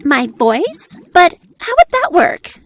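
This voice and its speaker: Woman playing a young mermaid girl, high voice, very lively intonation, naive, questioning, cartoon fairy tale. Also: slow pace, long reverb tail, slight background noise, heavily acted. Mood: worried, puzzled. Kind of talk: children's animated fairy tale acting.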